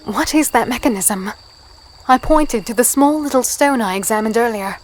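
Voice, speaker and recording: A woman playing a guarded, curious young human captive in a dark fantasy audio drama, hesitant, polite and introspective. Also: a little high, lively intonation, normal speed, slight background noise, natural voice. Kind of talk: first-person audio drama narration and dialogue.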